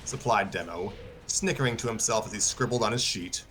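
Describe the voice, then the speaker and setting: A man's voice: on the low side, fairly quick, a bit bright, a little hiss or hum. A man narrating a scripted story as host of a live stream reading. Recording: music behind the voice.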